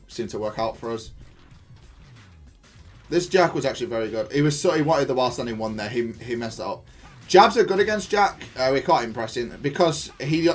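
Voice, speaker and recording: A man giving casual gameplay analysis of his own match in an informal, matter-of-fact, self-critical way. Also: mid-range voice. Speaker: gaming content creator reviewing own match.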